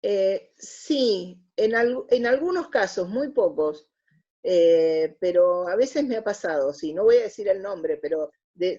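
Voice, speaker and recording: A woman's voice: on the low side, dark tone, breathy edge, muffled recording. A woman with an Argentine accent answering interview questions in a thoughtful, hesitant, conversational way. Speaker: interview guest, radio drama scriptwriter.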